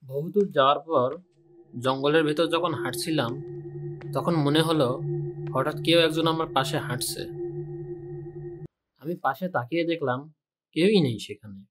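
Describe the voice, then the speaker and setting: Calm young man telling a story. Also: fairly high pitch, moderate pace, pauses often, over music. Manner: calm, steady first-person telling. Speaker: narrator. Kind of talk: storytelling narration.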